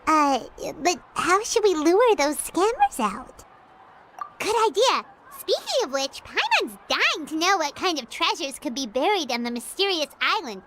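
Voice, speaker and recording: A woman voicing a tiny, childlike fairy companion in a video game, squeaky, bubbly and excitable. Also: a little slow, background noise, exaggerated voice. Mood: scheming, curious. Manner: refers to herself in third person, bubbly. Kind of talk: animated video game dialogue. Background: music.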